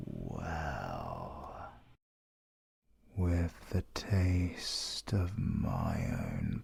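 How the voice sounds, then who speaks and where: A man playing a deep, gravelly British villain. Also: a little mellow, full character voice. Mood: menacing, relishing cruelty. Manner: slow, deliberate, pompous and threatening. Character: menacing, mysterious male supervillain who drains life force. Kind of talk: ASMR audio roleplay monologue.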